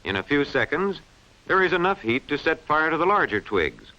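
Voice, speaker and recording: A man narrates an instructional film with a bright, forceful voice. His intonation rises and falls in an animated way as he explains each point precisely and didactically. The sound is muffled and band-limited, like a vintage film soundtrack. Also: a little high, normal speed. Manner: clearly enunciated, didactic delivery. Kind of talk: scripted educational film narration.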